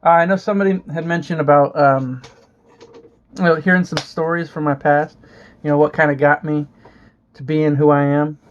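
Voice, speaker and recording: A young man from Florida talks casually at home with a slightly raised pitch, swearing freely and restarting his sentences. He fills his talk with uh and you know as he rambles. The recording sounds muffled and lo-fi. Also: steady tone, normal speed, a little hiss or hum. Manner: rambling, profane, many fillers and restarts. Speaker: vlogger. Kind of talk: casual chat.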